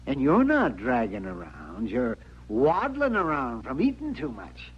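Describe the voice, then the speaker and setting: An actor playing a crusty, sardonic frontier town doctor in a radio western, dry and exasperated, with an American accent. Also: male voice, mid-range voice, wildly swooping pitch, unhurried, breathy edge, exaggerated voice. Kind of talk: 1950s radio western acting. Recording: muffled old radio recording.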